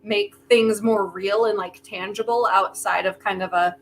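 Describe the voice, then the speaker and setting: Informal woman, mid-pitched, faint noise. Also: steady tone, normal speed. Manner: informal, peppers talk with "like". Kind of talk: casual chat. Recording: faint noise on video call.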